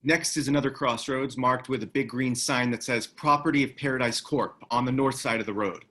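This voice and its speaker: A man's voice: medium pitch, fairly even intonation, a little fast, sharp tone. Man acting a terse ex-military man. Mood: terse, commanding. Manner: terse, commanding. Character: gruff, weary ex-military man, impatient but protective. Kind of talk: screenplay table read acting.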